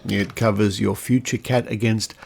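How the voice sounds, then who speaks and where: A man playing a shifty astrologer in a comedy podcast sketch, with halting, deliberate salesman patter. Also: fairly low pitch, steady tone, vocal fry, darkish tone, husky. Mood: slick, hedging. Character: shifty male astrologer upselling dubious insurance.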